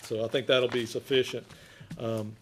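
An older man with a loud voice, a health inspector giving an informal, folksy presentation to a committee. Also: medium pitch, level tone, moderate pace, slight background noise. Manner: folksy, plain-spoken. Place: wood-panelled council chamber.

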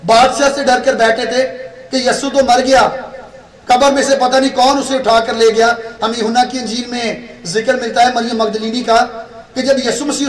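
A young man from Pakistan preaching a sermon as pastor, with a Pakistani Urdu accent, asking the congregation rhetorical questions and stretching his words for emphasis. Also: very high voice. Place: small church room with red curtain, indoors. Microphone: stand mic at pulpit. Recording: echoey room, voice from far off.

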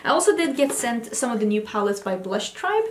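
A woman's voice: fairly high pitch, big pitch swings, a little fast, echoey room, slight background noise. A woman in her thirties from Sweden with a Swedish accent, chatting enthusiastically in a beauty haul video at home, often restarting words. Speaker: beauty vlogger. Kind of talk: casual haul video talk. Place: home room with vanity lights.

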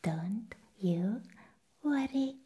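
A young woman playing an unhinged, nervous, hungry vampire nurse in a whispered ASMR roleplay, halting and repeating words, close to the microphone. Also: medium pitch, expressive, dark tone, breathy edge, full character voice. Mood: unstable, giddy. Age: young adult.

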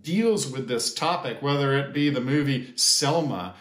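An American man in his fifties giving a measured, sermon-like pastoral address with deliberate pauses. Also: mid-range voice, fairly bright tone. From United States. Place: small indoor sitting room or office. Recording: echoey room.

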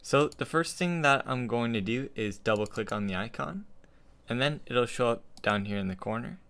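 A young man hosting a casual software tutorial, speaking into a desktop condenser microphone. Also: mid-range voice, lively intonation, normal speed, gravelly. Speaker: tutorial host. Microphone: desktop studio condenser microphone.

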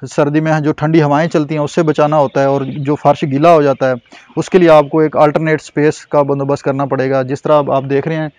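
A man's voice: fairly high pitch, level tone, a little mellow, no room sound. Man with a Pakistani Urdu accent, dry close sound. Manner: measured and informative, with frequent fillers. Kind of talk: explanatory on-camera interview. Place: outdoors at a covered goat shed.

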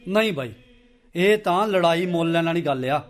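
A man acting a wary, questioning Punjabi villager in a radio drama. His voice is high for a man, and his talk is colloquial and cautious. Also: normal speed, natural voice. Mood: hesitant.

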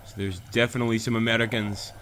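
Bright-voiced male streamer chatting over gameplay and answering chat. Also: lowish voice, flat delivery, quick pace, a little hiss or hum. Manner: chatty, reads out and answers live chat comments. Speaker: gaming channel host. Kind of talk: casual gameplay commentary.

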